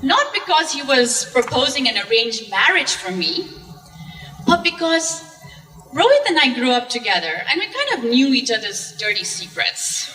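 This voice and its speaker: A woman telling her personal story on stage in a slow, dramatic, poetic way. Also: sits high, big pitch swings, bright, forceful tone. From Zambia. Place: art museum event stage with audience. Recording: distant mic in a reverberant hall.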